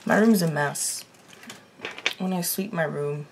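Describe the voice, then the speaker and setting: A raspy-voiced young woman chatting casually to camera from her bedroom, reacting to a TV show and swearing under her breath at small mishaps. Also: low voice, takes it slowly, background noise. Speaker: TV reaction vlogger. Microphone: laptop mic.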